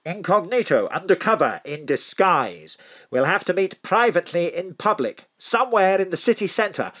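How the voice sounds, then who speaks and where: An actor playing a hearty, plummy spy chief in an audio drama, jaunty, posh and emphatic, full of old-school slang. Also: male voice, high-pitched, very animated, moderate pace, a bit bright, heavily acted. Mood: jaunty, urgent.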